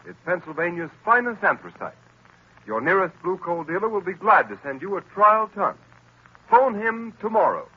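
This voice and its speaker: A man announcing and narrating in a booming, emphatic 1940s radio style. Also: very animated, moderate pace, breathy edge, highs cut off. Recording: old radio recording with music.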